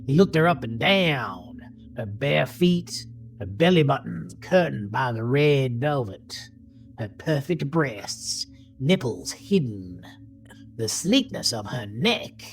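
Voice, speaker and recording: A woman narrating a scripted horror audio drama in a theatrical manner, sometimes dropping into hushed tones. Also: rumbling low, animated, takes it slowly. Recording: music bed under the narration.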